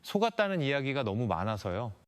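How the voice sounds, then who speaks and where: A man's voice: mid-range voice, big pitch swings, fairly quick, clear, resonant tone, voice pushed down, natural voice. A man voicing a young female investigator in a story, earnest and demure, posing as an innocent country girl. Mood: naive, timid.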